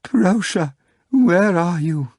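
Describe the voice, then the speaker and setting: A woman's voice: on the low side, expressive, slow, darkish tone, breathy edge, dry recording, clean studio sound, voice pushed up, full character voice. A man voicing a hysterical young woman secretary, shrieking and wailing, a little nasal from crying.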